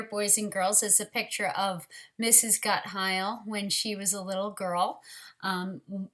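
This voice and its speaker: A woman teacher leading a read-aloud storytime for young children, speaking deliberately and directly to them. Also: medium pitch, a bit bright.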